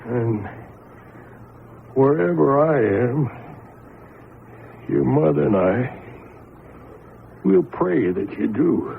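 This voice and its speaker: Tinny echoey old radio drama, gentle weary old father, creaky voice, slow delivery. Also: mid-range voice, animated, slight background noise, heavily acted. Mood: weary, tender.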